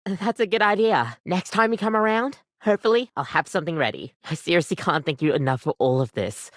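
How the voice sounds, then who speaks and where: A woman voicing a living coffee maker in a video game, an earnest, bubbly, chatty barista with an expressive, rambling way of talking. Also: on the low side, fairly quick, dry recording, clean studio sound, close to an everyday voice. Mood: bubbly, excited. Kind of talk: scripted video game voice acting.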